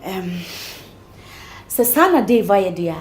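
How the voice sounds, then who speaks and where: Seorang wanita yang memerankan sahabat muda yang menghibur dan menenangkan temannya. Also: female voice, mid-range voice, big pitch swings, slow, a little mellow, reverberant, a little hiss or hum. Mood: sympathetic. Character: comforting young female friend.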